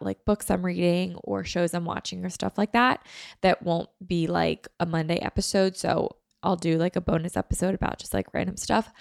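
A young woman chatting casually on her solo podcast, nasal and stuffy from a head cold. Her lowish voice has a gravelly fry, and the sound is close and dry with no room around it. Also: darkish tone, dry recording. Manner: chatty, many fillers. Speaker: podcast host.

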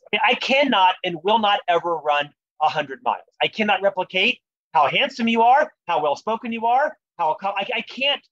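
A man's voice: high voice, fairly bright tone, no echo, lo-fi sound. A man with a lifelong stutter, speaking earnestly and reflectively as an author being interviewed about leadership. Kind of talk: podcast interview conversation.

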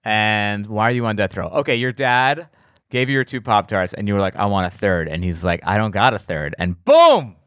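A man's voice: lowish voice, very animated, moderate pace, a bit bright, no room sound. Guy hosting a food podcast, joking around, laughs a lot, dry close sound. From Persian heritage. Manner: playful, laughs easily. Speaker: podcast host. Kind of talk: casual chat.

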